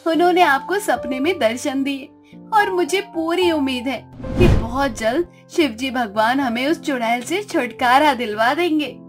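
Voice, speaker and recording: A woman voicing an anxious, devout young village wife in an animated devotional story, earnest and concerned. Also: sits high, measured pace, over music. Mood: reassuring.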